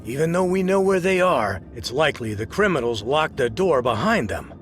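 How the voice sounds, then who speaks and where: An actor playing a resolute, earnest detective in a video game, with a purposeful, theatrical delivery. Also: male voice, on the high side, sing-song, moderate pace, a bit bright, a little hiss or hum. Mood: urgent. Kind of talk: video game cutscene acting.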